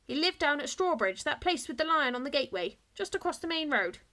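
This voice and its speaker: A woman's voice: high-pitched, big pitch swings, a little fast, pushed and bright, voice pushed up, exaggerated voice. A woman voicing a chatty male history teacher in a school storybook. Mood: gossipy, wistful.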